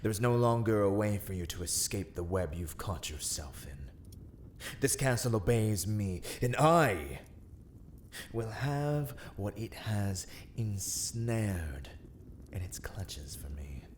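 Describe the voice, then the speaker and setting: A man playing a haughty, menacing vampire lord in a dark ASMR roleplay, speaking in formal, archaic, deliberate phrases. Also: fairly low pitch, expressive, a little hiss or hum. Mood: cold menace.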